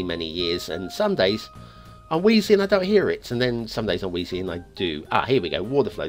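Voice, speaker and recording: A man hosting a game map review, talking in rambling, chatty commentary with joking asides, and wheezing a little from asthma. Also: fairly low pitch. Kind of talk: casual gameplay commentary.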